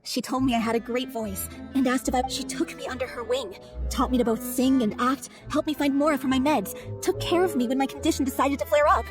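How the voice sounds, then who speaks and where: An actress playing a frank, emotionally wounded young performer from a theatre troupe in a video game. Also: female voice, high voice, fairly even intonation, fairly quick, over music, natural voice. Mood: subdued, reflective.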